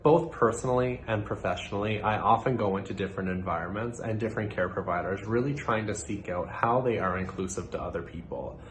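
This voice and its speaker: A young man from Nova Scotia, a social work student giving a prepared presentation in an earnest, measured way with occasional fillers. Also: fairly low pitch, slight background noise, rough recording. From Nova Scotia, Canada.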